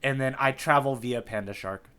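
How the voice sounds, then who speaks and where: A man's voice: mid-range voice, moderate pace, pushed and bright, clean tone. A man co-hosting a podcast as quizmaster, handing out clues and bantering playfully in casual chat.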